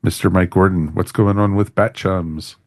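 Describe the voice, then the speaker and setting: A man's voice: deep voice, steady tone, normal speed, no echo, clean studio sound. A man hosting a podcast in a casual, informal way, chatting through plugs and sign-offs with folksy catchphrases. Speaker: podcast host.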